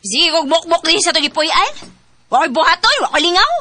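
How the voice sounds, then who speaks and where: A woman's voice: very high voice, expressive, brisk, pushed and bright, a little hiss or hum, full character voice. An actress playing a restless, discontented young girlfriend in a radio drama, with a whiny, emotional delivery. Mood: fed up. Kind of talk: radio drama acting in Cebuano.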